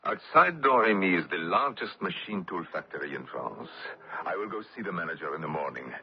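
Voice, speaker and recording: An actor playing a cold, menacing German occupation officer in a radio drama, with a clipped, demanding, icily sarcastic manner. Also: male voice, low-pitched, sing-song, a little fast. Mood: cold, resolved. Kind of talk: 1970s radio drama acting. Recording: muffled, faintly hissy old radio recording.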